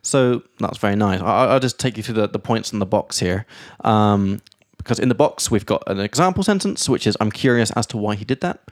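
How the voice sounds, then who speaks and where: A man hosting a casual podcast, explaining English grammar in a lively way and reading out example sentences. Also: fairly low pitch, a little fast, few pauses, no echo, clean studio sound. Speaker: podcast host and English teacher.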